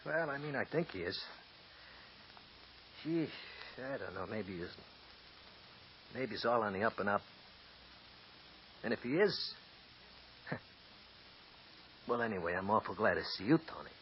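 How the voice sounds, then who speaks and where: A man's voice: lowish voice, lively intonation, measured pace, long pauses, slight background noise. An actor playing a nervous, tipsy small-time male accomplice who stammers and wheedles, in 1940s radio acting. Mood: rambling. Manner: stammering, wheedling then snarling. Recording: dull lo-fi old radio recording.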